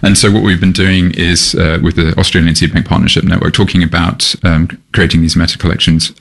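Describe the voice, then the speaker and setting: A man from Malaysia, a seed bank scientist, answering interview questions in a thoughtful, halting way with many pauses and restarts. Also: low voice, level tone, gravelly, fairly bright tone.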